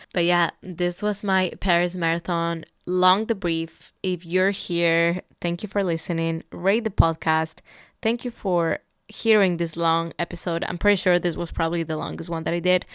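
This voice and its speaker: A woman's voice: fairly low pitch, clear, resonant tone, no echo, studio quality. A woman, hosting a solo podcast and talking candidly and upbeat about her own experiences. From Ecuador. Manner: candid, upbeat and motivational, with light laughs. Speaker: podcast host. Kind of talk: reflective solo podcast monologue.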